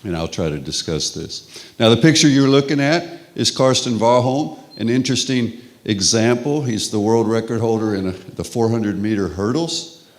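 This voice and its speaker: A middle-aged man from Texas with a Texan accent, giving a relaxed, conversational conference lecture with frequent restarts. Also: mid-range voice, normal speed. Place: conference hall.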